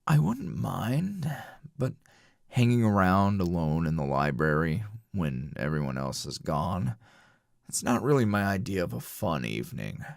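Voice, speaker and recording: A man playing a weary, flirtatious male teacher in an intimate ASMR roleplay, with a languid, dry drawl full of sighs and pauses. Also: low-pitched, lively intonation, creaky, no room sound, heavily acted. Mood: weary, reproachful.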